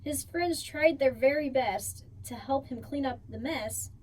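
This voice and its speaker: A woman reading a picture book aloud for children in an expressive storytelling style, drawing out key words. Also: high voice, measured pace. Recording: distant mic, faint background noise.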